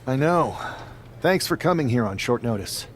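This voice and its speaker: A man's voice: medium pitch, expressive, moderate pace, slight background noise, natural voice. A man playing an earnest, casual pro wrestler in a video game, talking wryly and persuasively to a best friend. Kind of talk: cinematic game dialogue, casual.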